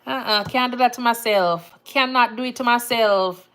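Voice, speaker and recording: A woman's voice: fairly high pitch, moderate pace. A woman from Jamaica with a Jamaican accent, chatting casually on a livestream and reading out viewer comments. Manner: relaxed, chatty, laughs easily, Jamaican phrasing. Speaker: nurse livestream host.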